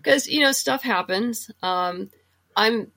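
A woman's voice: on the high side, takes it slowly, pushed and bright. A woman talking casually and enthusiastically as a guest in an interview, in a chatty way. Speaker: guest, writer and audio drama producer.

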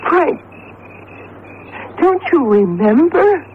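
A woman's voice: high voice, big pitch swings, very slow, full character voice. An actress playing an anxious, devoted woman with a hesitant, emotive delivery in a 1970s radio drama. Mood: pleading. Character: anxious, devoted woman caught in a supernatural mystery. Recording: very noisy, tinny 1970s radio broadcast.

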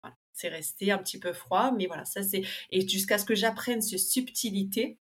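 A woman's voice: medium pitch, moderate pace. A woman guest in a casual interview, talking with many fillers and restarts, and livelier when she tells a story. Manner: lively when telling an anecdote.

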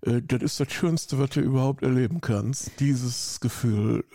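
A man's voice: mid-range voice, moderate pace, mellow, dry recording, studio quality. A middle-aged man with a Rhineland German accent, talking effusively and rambling with many fillers as an interview guest. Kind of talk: casual interview.

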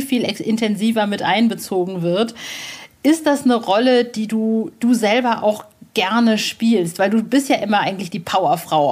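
A woman interviewing a guest for a podcast in a casual, informal way, with rambling questions. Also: medium pitch.

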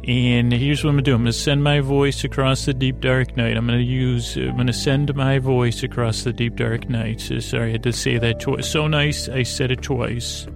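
A man with a creaky voice hosting a podcast in a soothing, long-winded bedtime monologue that meanders and interrupts itself. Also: mid-range voice, little pitch movement, moderate pace, hardly any pauses, music in the background. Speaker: podcast host.

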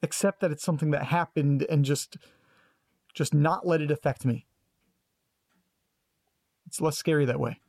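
A man's voice: fairly high pitch, steady tone, moderate pace, pauses often, darkish tone, no room sound. A man acting in an audio drama as a guarded, sarcastic grandson who is detached from family trauma, speaking in a dry, hesitant, clipped way. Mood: bitter, detached.

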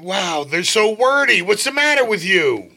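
A middle-aged man co-hosting a casual show, reading slang out loud with jokes and a mock Irish accent. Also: high-pitched, very animated, a little slow. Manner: joking, puts on a playful Irish accent for the sample sentences. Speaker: co-host.

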